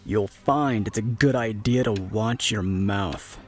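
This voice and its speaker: A man's voice: fairly low pitch, slow pace, soft background music. An actor playing a wry, teasing male knight instructor in an audio drama, with drawling authority. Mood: warning. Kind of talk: scripted audio drama acting.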